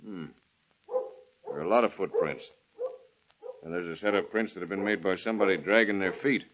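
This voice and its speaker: An actor playing a shrewd, skeptical lawman, deliberate and matter-of-fact, in 1930s radio drama style. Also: low voice, close to an everyday voice. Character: shrewd, skeptical county lawman investigating a killing. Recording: muffled, noisy vintage radio recording.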